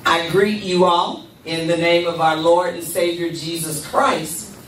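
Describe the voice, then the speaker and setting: An older woman speaks slowly and deliberately into a handheld mic in a church sanctuary. Her voice sits a little high. The sound is roomy and picked up from a distance. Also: level tone, slight background noise. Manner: measured, deliberate, with congregation responses. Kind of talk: spoken address at a church service. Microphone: handheld microphone. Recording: echoey room, camera far off.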